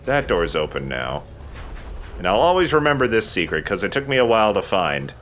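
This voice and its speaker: A man's voice: lowish voice, big pitch swings, a little fast, fairly bright tone. A man hosting a let's play, chatting casually over his game with self-deprecating banter. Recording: clear background noise. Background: game sound.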